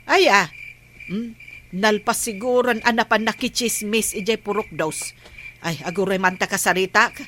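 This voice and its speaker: An actress with a Filipino accent playing a nagging, suspicious wife of a village captain in a radio comedy drama. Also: female voice, medium pitch, steady tone, normal speed, fairly bright tone, slight background noise, close to an everyday voice. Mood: curious. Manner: scolding, questioning.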